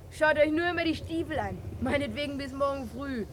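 Woman as a high-voiced, sneering schoolkid. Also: moderate pace, slight background noise, lightly acted. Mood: sneering, ironic. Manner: sneering, needling. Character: mocking, scheming schoolchild in a street gang. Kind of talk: German radio play acting.

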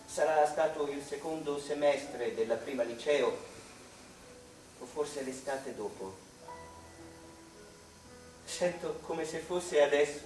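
An actor playing a melancholy male mathematician confiding in his mother, in a wistful, halting, theatrical monologue. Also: a little high, natural voice. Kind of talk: dramatic stage monologue. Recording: echoey room, distant mic, music behind.

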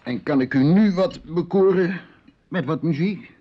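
An actor in a Dutch radio drama playing an intrusive, flattering musician who is a dinner guest with secret desires. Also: male voice, on the high side, a little slow. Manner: flattering, florid, provocative. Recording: muffled, noisy old radio play recording.

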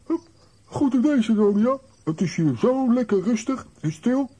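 An actor playing a grumpy, blustering comic hero in a children's cartoon audio play, with a whiny manner. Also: male voice, very high-pitched, animated, normal speed, dark tone, breathy edge, heavily acted. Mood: pleased.

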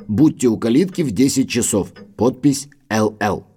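An actor playing a loyal, discreet middle-aged butler in an audio drama, speaking in a measured, respectful, formal way. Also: male voice, mid-range voice, animated, dark tone, breathy edge. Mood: grave recounting.

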